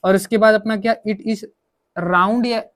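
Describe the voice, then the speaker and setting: A man's voice: high voice, fairly even intonation, measured pace, fairly bright tone. A man teaching English grammar in a coaching lesson, repeating himself and asking questions that he answers himself. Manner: repetitive, asks and answers own questions. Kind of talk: coaching lesson, explaining in Hindi with English examples.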